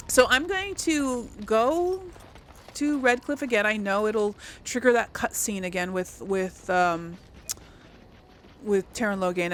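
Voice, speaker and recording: Female gaming host, mid-range voice, informal and chatty let's play commentary, slightly noisy recording. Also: medium pitch. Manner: informal, chatty, addressing viewers directly.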